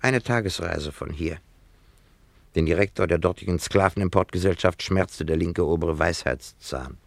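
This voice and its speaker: A man's voice: low voice, normal speed, gravelly, dry recording. A man playing an irritable, sardonic dentist in a German radio play, narrating theatrically in clipped, emphatic phrases. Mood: grumbling narration. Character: irritable, wine-loving male dentist in an ancient town.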